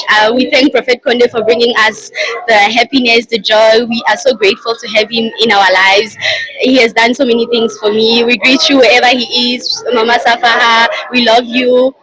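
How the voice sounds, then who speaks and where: A woman gives a testimony of thanks at church. Her voice is on the high side. A crowd can be clearly heard in the background. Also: low-quality recording. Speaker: church member giving a testimony. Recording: live church, crowd audible behind.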